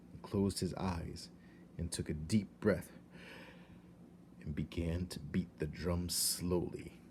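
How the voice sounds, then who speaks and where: A man narrating a children's storybook aloud in an expressive, dramatic read-aloud style. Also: sits low, a little hiss or hum.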